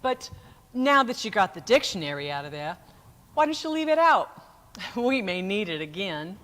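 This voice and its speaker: A woman playing a long-suffering, wry but firm wife in an old-time radio comedy, with a dry, measured delivery. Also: mid-range voice, sing-song, fairly bright tone. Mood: sweetly exasperated.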